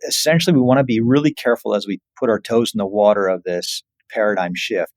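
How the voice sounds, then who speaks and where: A man's voice: lowish voice, fast, no echo. A man, a surgeon, explaining as an interview guest in an emphatic way with examples.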